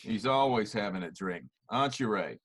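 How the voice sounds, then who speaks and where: A man's voice: lowish voice, lively intonation, moderate pace, fairly bright tone, husky, dry recording. A man playing a pointed, needling murder suspect in an amateur radio-style mystery.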